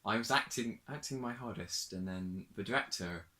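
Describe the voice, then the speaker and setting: A man's voice: lowish voice, moderate pace, pushed and bright. A young man, an amateur actor, chatting casually and jokingly with friends.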